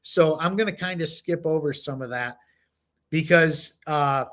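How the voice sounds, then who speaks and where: A man's voice: on the high side, a little slow, a bit bright. A man co-hosting a podcast, chatting casually with many fillers and reading from notes. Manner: rambling, many "you know" fillers. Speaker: podcast co-host. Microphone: home computer mic, no camera.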